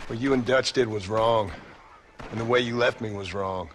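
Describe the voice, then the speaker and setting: A man's voice: on the low side, normal speed, a bit bright, a little hiss or hum, close to an everyday voice. A man playing a stern, world-weary former outlaw with an American accent in a Western video game, blunt and terse. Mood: cold, accusing.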